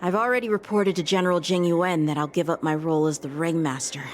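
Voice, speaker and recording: A woman's voice: lowish voice, steady tone, fast, slight background noise, natural voice. A woman voicing an earnest, dutiful teenage boy knight lieutenant in a game, speaking formally and conscientiously. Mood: earnest, resolute. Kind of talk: acted game story dialogue.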